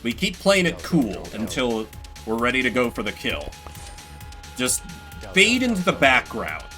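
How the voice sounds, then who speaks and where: A man giving casual, animated and sarcastic gameplay commentary, swearing now and then. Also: mid-range voice, unhurried, faint music behind. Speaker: streamer playing and voicing a game solo.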